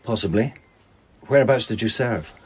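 A man's voice: on the low side, normal speed, a little mellow, slightly rough. An actor playing an earnest, persistent ex-serviceman and amateur sleuth, courteous but probing, in a radio drama. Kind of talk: British radio drama acting.

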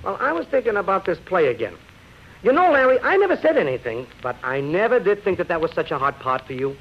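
An actor in a 1930s radio drama playing a slangy theatrical agent who wheedles, cajoles and hedges. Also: male voice, sits high, very animated, quick pace, exaggerated voice. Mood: cajoling. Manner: wheedling, folksy, hesitant when cornered. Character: slangy, fast-talking male showbiz agent, cajoling and evasive. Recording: muffled 1930s radio broadcast.

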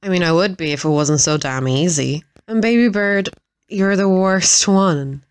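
A woman acts out a gloating, cruel villainess in an audio drama. Her voice sits a little low and is recorded very dry. She taunts smugly, stretching words for emphasis. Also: a little slow, smooth, no room sound, natural voice. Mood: smug, taunting.

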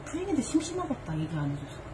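A woman's voice: high voice, huge pitch swings, fast talker, background noise. A middle-aged woman from Seoul with a Busan accent, bantering with her daughter and teasing her, laughing a lot. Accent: Busan Korean. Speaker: vlogger's mother. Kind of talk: casual banter.

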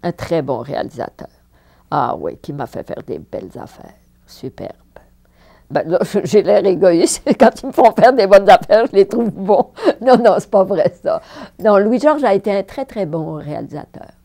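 Lively older French woman with a dark voice. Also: medium pitch, normal speed, vocal fry. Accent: Parisian French. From France. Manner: animated, emphatic repetitions, frequent laughs. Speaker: actress interviewee. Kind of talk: reminiscing interview. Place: living room with fireplace, indoors.

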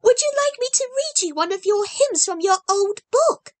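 A woman doing a gleeful, squeaky little girl. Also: lively intonation, normal speed, no room sound. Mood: eager gleeful. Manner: bubbly, gleeful, breathless rush. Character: excitable eager little girl.

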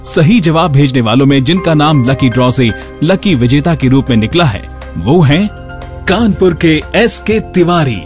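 A man hosting a radio show, reading scripted narration and announcements. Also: on the high side.

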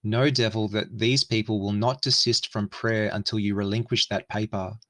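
A man's voice: lowish voice, steady tone, normal speed, fairly bright tone, dry recording, natural voice. A man reading the part of a stern, devout bishop saint in solemn, archaic, exhorting language. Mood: righteous rebuke.

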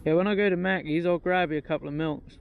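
A man's voice: on the high side, steady tone, fast talker, creaky, dull audio, a little hiss or hum. A man, an angler chatting casually while out fishing by the water. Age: 20s. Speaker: angler and vlogger. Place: outdoors by a riverside mooring.

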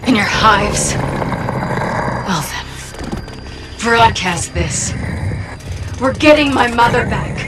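A woman's voice: fairly high pitch, animated, extremely slow, pauses often, over loud music, exaggerated voice, low-quality recording. An actress playing a tough, defiant young woman soldier in a video game, blunt and fierce. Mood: angry, defiant. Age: young adult. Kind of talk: video game cutscene acting.

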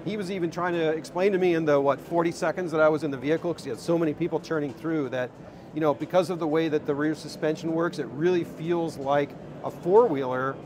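A man's voice: a little high, steady tone, fast. A man in his fifties recounting a visit in an unscripted interview, speaking into a clip-on mic. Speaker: interview guest, electric car enthusiast. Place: large indoor car show hall. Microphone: clip-on wireless lapel. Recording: noisy exhibition hall ambience.

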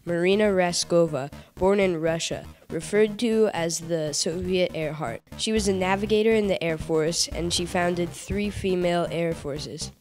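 A woman with a fairly low voice reads narration from a paper list in a steady, plain way. Faint music runs quietly underneath her. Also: measured pace, few pauses. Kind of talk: read-aloud narration.

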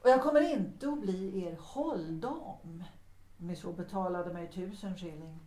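In a roomy echo, a woman acts a proud widow from a period radio play. Her voice is mellow and formal, deliberate and coolly commanding. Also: fairly low pitch, close to an everyday voice. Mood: threatening, cool. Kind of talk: Swedish radio drama dialogue. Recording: echoey room.

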